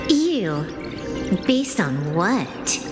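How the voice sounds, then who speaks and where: An actress playing a cool, confident young female hacker in a video game, speaking in a deliberate, challenging way. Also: fairly low pitch, sing-song, full character voice. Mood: defiant. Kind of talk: dramatic video game voice acting. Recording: echoey reverberant space, music underneath. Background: game music.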